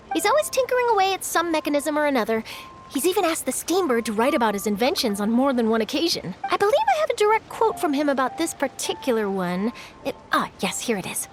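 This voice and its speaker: Bright, chatty young journalist, very high and lively voice. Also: female voice. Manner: excitable, chatty, bubbly. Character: cheerful young woman journalist. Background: game music.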